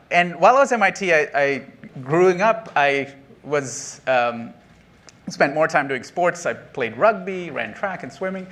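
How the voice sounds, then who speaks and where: Chatty conference speaker, slightly high voice. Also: male voice, pushed and bright. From Sri Lanka. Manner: chatty and self-deprecating, laughs at his own jokes, many ums. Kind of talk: keynote talk to an audience. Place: conference stage.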